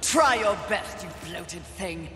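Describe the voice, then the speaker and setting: An actress playing a stern, defiant warrior mistress in a video game, with a curt, commanding and scornful delivery. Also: female voice, fairly low pitch, expressive, slow. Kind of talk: video game drama acting. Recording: echoey long reverb, noise behind.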